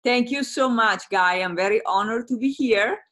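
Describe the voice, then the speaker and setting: A middle-aged woman with an Italian accent, speaking as a guest coach on a video call, enthusiastic and quick to laugh. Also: on the high side, a bit bright. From Italy. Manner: enthusiastic, laughs often, repeats words. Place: home room with brick fireplace.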